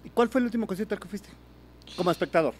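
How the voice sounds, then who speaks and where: A man's voice: high voice, very fast, a little mellow. A man with a Mexican accent hosting a casual interview in irreverent, slangy, joking language. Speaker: podcast host.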